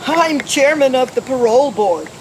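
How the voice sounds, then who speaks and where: A man's voice: extremely high pitch, lively intonation, normal speed. A male actor playing a worried, formal parole official and father, earnest and polite, in an old-time radio detective drama. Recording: noisy, hissy radio play recording.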